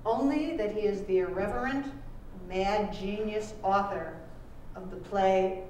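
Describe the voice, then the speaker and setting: A woman author reading her book aloud at a live event, measured and deliberate with pauses. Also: medium pitch, mellow. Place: live book launch performance in a room. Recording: echoey room, distant mic, faint noise.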